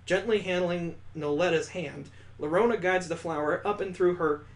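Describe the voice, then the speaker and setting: A man's voice: sits high, fairly even intonation, normal speed, low-quality recording. A man reading a visual novel aloud as host of a gaming video, breaking off into wry, jokey asides. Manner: wry, jokey, wanders off into side comments.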